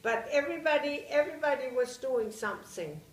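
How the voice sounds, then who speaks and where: Older Bavarian-accented woman, halting speech. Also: high voice, lively intonation, reverberant, far from the mic, slight background noise, low-quality recording. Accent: Bavarian German. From Germany. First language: German. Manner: halting, searches for words. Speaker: interviewee. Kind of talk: oral history interview.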